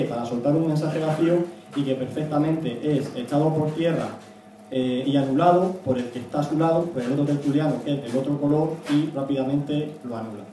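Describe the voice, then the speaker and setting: A man's voice: a little high, mellow. A man in his thirties from Spain giving a conversational public talk into a handheld mic, often tagging phrases with a questioning "no?". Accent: Spanish from Spain. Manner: conversational, frequent "¿no?" tags. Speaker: guest speaker, YouTube analyst. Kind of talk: semi-prepared public talk. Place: small indoor meeting room. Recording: echoey room, distant mic, faint noise.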